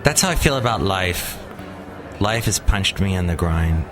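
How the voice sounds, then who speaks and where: A man's voice: deep voice, normal speed, noisy background. A man hosting a podcast, chatting casually and joking playfully with his co-host. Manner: playful, jokey, lively. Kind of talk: casual chat.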